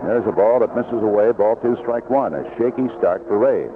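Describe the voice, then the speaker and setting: A man working as a play-by-play announcer, giving live 1970s baseball radio commentary, unhurried in description and more animated on the action. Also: on the low side. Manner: unhurried description between plays, rising excitedly on action. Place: outdoor ballpark broadcast booth. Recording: noisy, tinny vintage AM radio broadcast.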